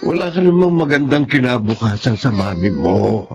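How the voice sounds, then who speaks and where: A man playing an emotional, coaxing grandfather with a gravelly voice in a Filipino radio drama. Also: mid-range voice, big pitch swings, moderate pace. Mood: soothing, persuading.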